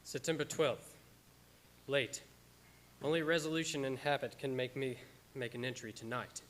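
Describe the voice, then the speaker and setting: A man's voice: medium pitch, moderate pace, fairly bright tone, natural voice. An actor playing an anguished, devoted doctor who narrates from his journals in an old-time radio drama style. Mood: grave, narrating. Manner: stammering when distressed, dramatic.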